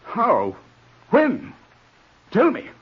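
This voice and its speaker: Stern, imperious gentleman, husky vintage radio. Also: very high voice, wildly swooping pitch, very slow, heavily acted. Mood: alarmed. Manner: brusque, commanding, interrogating. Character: stern, cold, imperious Edwardian English barrister, older brother. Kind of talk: 1940s radio drama acting. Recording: dull vintage 1940s radio recording.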